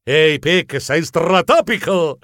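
A man playing an excitable, bumbling, polite mouse journalist who tells his own adventure as theatrical children's storybook narration. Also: on the high side, moderate pace, no room sound, clean studio sound. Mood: eager, flustered. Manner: theatrical, expressive, comic exclamations.

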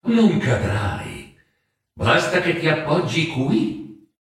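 A man voicing a gentle, mysterious childlike ghost with a soft, fluting voice that seems to come from far away, drawn through an echo effect. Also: on the high side, heavily acted. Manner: slow, calm, enigmatic.

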